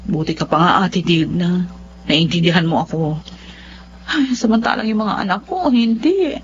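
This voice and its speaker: A woman's voice: on the low side, normal speed, slight background noise, natural voice. An actress playing a stubborn, lovestruck middle-aged widowed mother in an emotive, insistent Filipino radio drama style. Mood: defensive. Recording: dull lo-fi radio drama sound.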